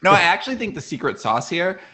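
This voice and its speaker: A man's voice: high-pitched, big pitch swings, quick pace, sharp tone. An American man talking casually as a podcast host. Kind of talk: casual chat.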